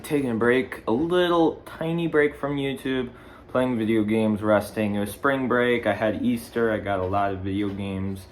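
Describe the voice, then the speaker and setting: A man's voice: on the low side, fairly even intonation, normal speed, slight background noise. A fifteen-year-old boy casually hosting a video in a rambling way, often correcting himself. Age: teens, fifteen. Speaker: video blogger, host. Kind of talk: casual chat ranking video game movies.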